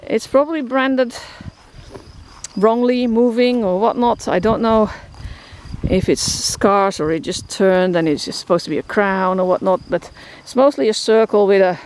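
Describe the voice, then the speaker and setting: A woman's voice: medium pitch, normal speed, a little mellow. A woman with a Dutch accent casually talking about her horses outdoors, rambling with many fillers and restarts. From Netherlands. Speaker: horse shelter keeper. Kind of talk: casual vlog walkthrough. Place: outdoors in a rural field. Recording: outdoor noise, crickets behind.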